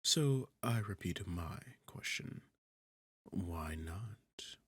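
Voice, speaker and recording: A man's voice: low voice, lively intonation, very slow, a bit bright, no room sound, heavily acted. A man playing a sarcastic, seductive, arrogant demon king in an intimate ASMR fantasy roleplay, teasing and condescending as he talks. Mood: haughty, matter-of-fact. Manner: sardonic, condescending, teasing, smooth-talking. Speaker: audio roleplay performer.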